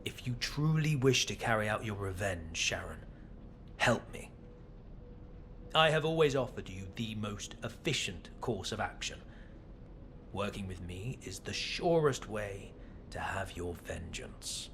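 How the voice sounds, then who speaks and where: A man playing a composed, calculating scientist official in a video game, speaking in a measured, formal, condescending way. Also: lowish voice, lively intonation, a bit bright, slight background noise. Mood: condescending, persuasive. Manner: measured, formal, breathy in places. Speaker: voice actor. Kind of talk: dramatic game dialogue.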